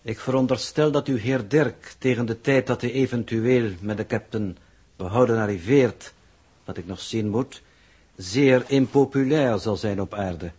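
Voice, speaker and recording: A man's voice: medium pitch, a little slow, a little mellow, slightly rough. A man playing an emotionless android coordinator in a radio drama, speaking in a formal, pedantic, detached manner. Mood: calmly advising. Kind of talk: 1960s radio drama acting.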